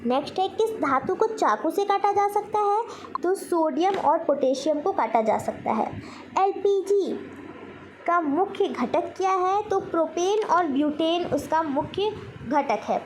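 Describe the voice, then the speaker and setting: A woman's voice: very high voice, animated, unhurried, slight background noise, rough recording. A woman with an Indian accent teaching a quiz-style lesson, posing questions and answering them in an instructional way. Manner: instructional, asks each question then answers it, repeats key points. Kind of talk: general knowledge quiz lesson for exam students.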